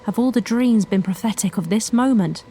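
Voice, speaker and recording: A woman's voice: medium pitch, moderate pace, mellow, slight background noise, close to an everyday voice. Anxious, tender expectant mother voiced by an actress. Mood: foreboding. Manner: musing, questioning. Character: anxious, wondering pregnant woman, tender toward her baby. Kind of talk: audio drama monologue. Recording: music behind the voice.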